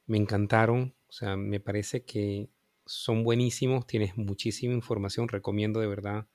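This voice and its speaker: Male interviewer, low voice, dry close sound, friendly and halting. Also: little pitch movement, darkish tone, no echo. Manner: friendly, complimentary, hesitant fillers. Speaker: host. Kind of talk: casual interview talk.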